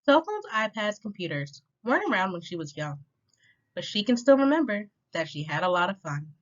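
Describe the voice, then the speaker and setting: A woman reading a children's rhyming picture book aloud in an expressive, clearly paced way, as a storytime reader. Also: mid-range voice, brisk. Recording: dull lo-fi sound.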